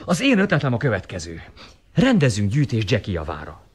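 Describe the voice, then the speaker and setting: A man's voice: lowish voice, huge pitch swings, breathy edge, full character voice. An actor playing a bossy, eager, self-important boy detective in a radio drama. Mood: eager, decisive. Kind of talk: 1980 radio drama acting.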